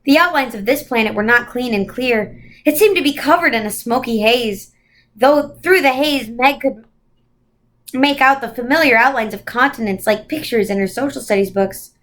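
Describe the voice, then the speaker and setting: A woman reads a children's story aloud as narrator. Her voice is high, and she tells it expressively for young listeners. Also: moderate pace, fairly bright tone. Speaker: story reader.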